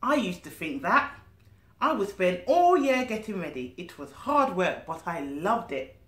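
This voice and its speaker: Woman as a grumpy, weary old Christmas gentleman. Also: lowish voice, very animated, unhurried. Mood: weary, wistful. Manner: grumbling, sighing. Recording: roomy living-room echo.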